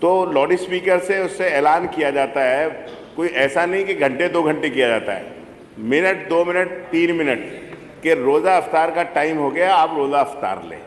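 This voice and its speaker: A man speaks in a slightly raised pitch, appealing deferentially in a legislative debate. His voice rings through a large hall with long reverb and strong echo, over faint noise. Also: animated, moderate pace. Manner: deferential, often addressing the chair as sir. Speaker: opposition legislator making a request in a state assembly. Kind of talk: impromptu parliamentary debate speech.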